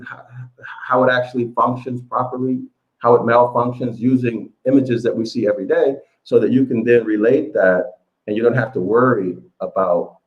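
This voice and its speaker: A man's voice: medium pitch, darkish tone. Rambling man on a muffled call. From United States. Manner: rambling, many fillers and restarts. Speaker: interview guest, author. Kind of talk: casual storytelling interview. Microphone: online call.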